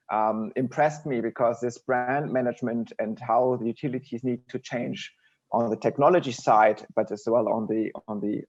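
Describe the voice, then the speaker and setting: A dull, lo-fi online recording carries a man at a middle pitch. He discusses his industry conversationally, doubling back on phrases and adding little tag questions as he goes. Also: darkish tone. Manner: repeats phrases, tags statements with "right?". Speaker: podcast guest, industry executive. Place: remote online podcast connection. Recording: dull, band-limited online call audio.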